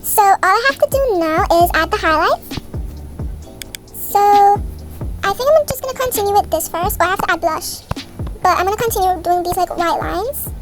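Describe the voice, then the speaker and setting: A woman's voice: very high-pitched, moderate pace, long pauses. A woman giving a casual, improvised step-by-step tutorial as a voice-over. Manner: informal, improvised explaining. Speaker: video creator giving a tutorial. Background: music.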